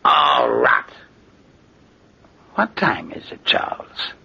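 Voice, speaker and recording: An actor playing a fussy, irritable, controlling elderly uncle with a strained voice, in 1940s radio drama style. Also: male voice, deep voice, huge pitch swings, drawn-out words, gravelly, breathy edge, exaggerated voice. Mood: irritated. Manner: peevish, hesitant, lingering over words. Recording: muffled 1940s radio recording.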